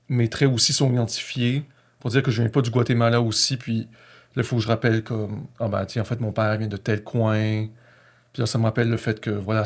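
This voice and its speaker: A man with a Québécois accent reflecting personally in conversation, feeling his way through sentences and often correcting himself. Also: lowish voice. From Quebec, Canada.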